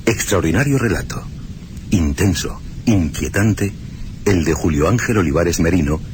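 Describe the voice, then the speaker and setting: A man's voice: low voice, expressive, a little slow, darkish tone, a little hiss or hum. A man presenting a radio story programme in a formal, scripted style.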